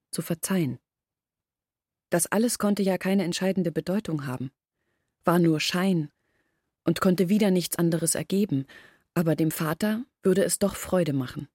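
An actress playing an earnest village woman who explains and confesses in a measured literary radio drama monologue. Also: fairly low pitch, darkish tone, no room sound, studio quality, close to an everyday voice.

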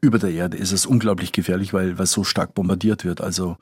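Low-voiced, husky man explaining. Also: steady tone, quick pace, darkish tone, no echo. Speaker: foreign correspondent. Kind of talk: conversational expert explanation in an interview.

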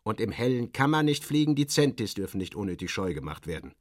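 A man's voice: on the low side, sing-song, fast talker, dry recording, clean studio sound. Man as a grumbling, confident major. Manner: murmuring, colloquial, matter-of-fact. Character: military major, confident, muttering, grumbling balloon pilot.

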